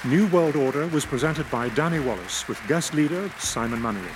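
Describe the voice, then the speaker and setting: A man reading formal radio announcements in a measured, solemn newsreader tone. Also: mid-range voice, animated, crowd noise. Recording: live audience and noise.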